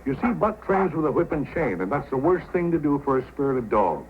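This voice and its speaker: A man's voice: fairly high pitch, big pitch swings, fairly quick, a little hiss or hum. An actor playing a confident, fatherly male sled-dog racer with a folksy, good-natured manner in an old-time radio drama. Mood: hearty, fatherly. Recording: dull old radio recording with music.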